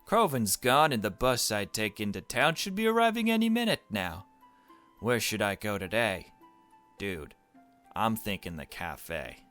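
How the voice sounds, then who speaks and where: Male let's play host, lowish voice, reads game text aloud with casual asides over music. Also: sing-song, a little slow, fairly bright tone. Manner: casual self-commentary between read passages. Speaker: gaming video host.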